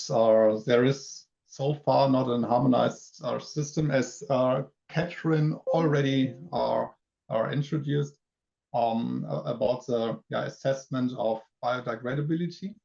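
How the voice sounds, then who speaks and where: A man on an online call explaining a challenge haltingly with fillers. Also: medium pitch, steady tone, gravelly, mellow, dull audio. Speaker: workshop participant.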